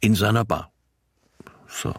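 An actor playing a gruff, laconic, loyal friend in a German radio crime drama, with a deep husky voice and terse delivery. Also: male voice, slow pace, dry recording, studio quality. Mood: resigned, reassuring. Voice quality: deep, gravelly husk.

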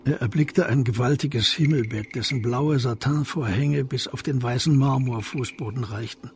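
Mid-pitched male storyteller, measured. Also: a little mellow. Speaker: narrator. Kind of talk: scripted literary crime narration.

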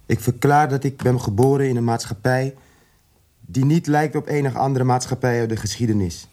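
An actor playing an educated, indignant South African radical in a radio drama, articulate and emphatic, repeating words for weight. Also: male voice, medium pitch, fairly even intonation, normal speed, warm tone, lightly acted. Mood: solemn, impassioned.

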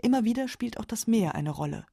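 A woman's voice: on the high side, expressive, fairly quick, creaky, mellow, husky, no room sound, clean studio sound. A woman narrating a scripted radio feature as its narrator.

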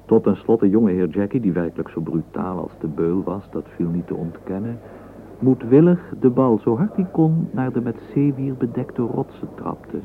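A man's voice: on the low side, normal speed, vocal fry. A man narrating a literary radio play. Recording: muffled phone-like old radio recording, hissy.

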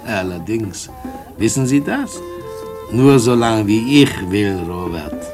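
Radio drama villain: low-pitched, smug, cajoling blackmailer with oily politeness, instrumental music underneath. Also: male voice, big pitch swings, takes it slowly, exaggerated voice. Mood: mocking, threatening. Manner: smug, cajoling, mock-courteous, chuckling. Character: sly sinister male blackmailing drug dealer, mockingly polite. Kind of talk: mid-century German radio drama acting.

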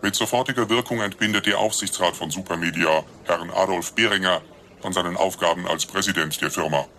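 An official announcer in a radio play. His low voice is forceful and bright, each phrase formal and emphatic. There is slight noise behind the bulletin. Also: a little fast. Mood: urgent, official. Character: brisk, official company announcer issuing an urgent bulletin. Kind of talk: public announcement in radio drama.

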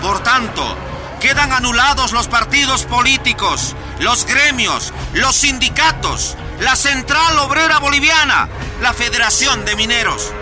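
A man's voice: very high-pitched, lively intonation, a little slow, music in the background. A man playing an authoritarian military dictator, commanding and declamatory, proclaiming decrees in a radio drama. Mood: stern, commanding.

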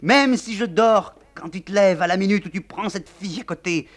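A man performing a hysterical older wife, shrieking, raving, very high, very loud. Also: animated, unhurried, heavily acted. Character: hysterical older woman, jealous general's wife.

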